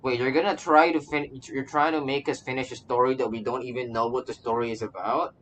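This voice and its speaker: Rambling male game streamer, mid-pitched. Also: fairly even intonation, fairly quick, fairly bright tone, slight background noise. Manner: rambling, stammering, repeats phrases. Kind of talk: casual live reaction commentary. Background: game music.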